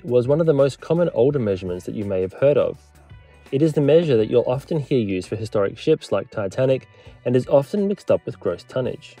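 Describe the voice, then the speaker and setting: A man narrates a scripted explainer in a measured, lightly wry way, like a historian giving a lecture. His voice is warm and mellow, with a slightly husky edge. Soft music plays underneath. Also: medium pitch.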